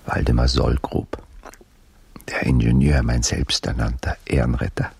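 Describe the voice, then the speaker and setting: An actor playing a brooding army officer and baron in a radio play, speaking in a measured, weary, often hushed manner. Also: male voice, very deep voice, level tone, gravelly, husky, dry recording, full character voice. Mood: weary, ironic. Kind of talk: radio play narration and dialogue.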